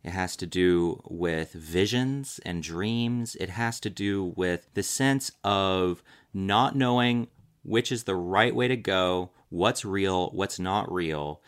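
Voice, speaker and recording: A man's voice: deep voice, takes it slowly, clean tone. A man of about thirty talking conversationally as a tarot reader, explaining reflectively and checking in with his listener. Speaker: tarot reader guiding a reading.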